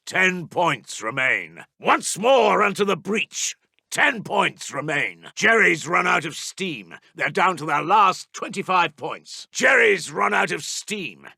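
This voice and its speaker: A man with a British accent playing a brisk, stiff-upper-lipped Second World War army intelligence officer making formal announcements in a video game. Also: sits high, sing-song, gravelly, pushed and bright, husky, no echo, studio quality, full character voice. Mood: rousing, triumphant. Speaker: military announcer.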